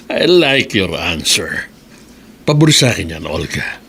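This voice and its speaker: A Filipino man acting in a radio drama as a smooth-talking boss pursuing his employee. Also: deep voice, sing-song, very slow, pushed and bright, slight background noise, heavily acted. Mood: seductive, insistent.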